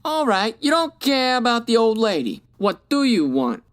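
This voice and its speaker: Actress as mean little mobster boss: higher-pitched, lively swings, curt tough-guy slang, radio parody. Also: normal speed. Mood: curt, menacing. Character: mean little scar-faced male gangster boss, curt and menacing. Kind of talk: old-time radio gangster parody.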